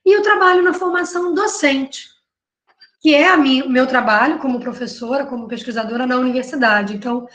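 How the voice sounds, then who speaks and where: A woman's voice: high-pitched, a little fast, fairly bright tone. A Brazilian woman who is a university professor and researcher, giving a conversational academic panel talk with frequent tag questions and self-corrections. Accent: Brazilian Portuguese. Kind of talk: academic panel talk presenting her work. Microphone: video call. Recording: echoey room.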